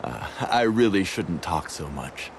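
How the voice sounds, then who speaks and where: An actor voicing a sly, playful mastermind in a video game, condescending and teasing, with theatrical drawn-out delivery. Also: male voice, sits low, lively intonation, creaky, slight background noise, heavily acted. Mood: mocking, chatty. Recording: music under the voice.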